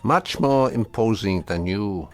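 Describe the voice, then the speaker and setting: An actor playing a wry, defiant old man in a radio drama, measured and dryly ironic. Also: on the low side, expressive, soft background music. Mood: quietly defiant.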